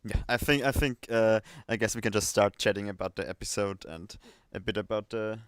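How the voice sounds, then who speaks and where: Male stream host, clean low voice, close dry sound, unscripted and halting. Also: smooth, no room sound. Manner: hesitant, rambling. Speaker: livestream host. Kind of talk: casual unscripted livestream chat.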